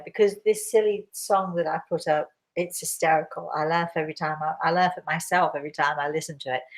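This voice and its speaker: A middle-aged woman, a host with a croaky voice, chatting casually and rambling with many restarts as she answers viewer questions. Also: fairly low pitch, a bit bright. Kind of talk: casual live chat.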